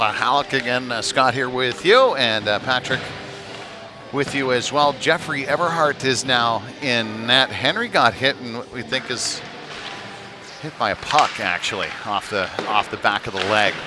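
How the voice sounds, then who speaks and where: Man calling hockey over rink noise. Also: lowish voice. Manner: halting, pausing mid-phrase. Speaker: play-by-play hockey commentator. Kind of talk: live sports commentary. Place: indoor ice rink. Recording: noisy arena, live stream.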